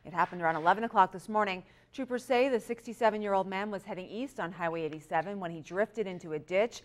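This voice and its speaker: A woman's voice: medium pitch, fast. A female news anchor with an American accent delivers scripted stories in a mid-range voice. She reads as a presenter would in a local newscast.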